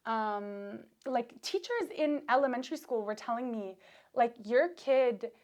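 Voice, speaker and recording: A woman's voice: on the high side, expressive, unhurried, darkish tone. A young adult woman from Canada, a PhD student, chatting loosely in an interview with frequent laughs and restarts. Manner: chatty, rambling, laughs often. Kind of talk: casual conversational interview.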